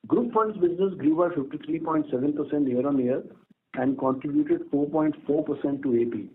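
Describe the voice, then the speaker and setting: A man with an Indian accent giving a formal, scripted business update as a company executive. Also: fairly high pitch. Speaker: company executive on an earnings call. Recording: narrow phone line with background noise.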